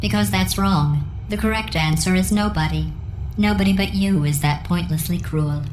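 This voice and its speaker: A woman voicing a coldly cruel female game AI with robotic processing, deadpan, sarcastic and falsely sweet. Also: fairly low pitch, normal speed, roomy sound, music in the background, poor audio. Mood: icy sarcasm. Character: female artificial intelligence running tests, passive-aggressive, coldly cruel. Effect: synthetic robotic processing. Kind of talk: video game character dialogue.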